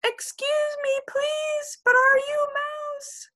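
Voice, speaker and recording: A woman's voice: very high voice, little pitch movement, takes it slowly, pushed and bright, pitched above the performer's own voice, heavily acted. A woman voicing a brave little male kitten who speaks politely and boasts eagerly.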